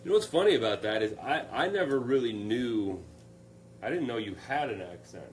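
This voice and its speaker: A man's voice: mid-range voice, lively intonation, a little fast, slight background noise. A young man hosting a casual live Q&A, upbeat and joking as he reads out viewer comments. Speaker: live stream host.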